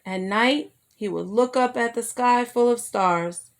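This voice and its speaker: A woman reading a children's story aloud as a storyteller, in an expressive manner that draws young listeners in. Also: fairly high pitch, unhurried.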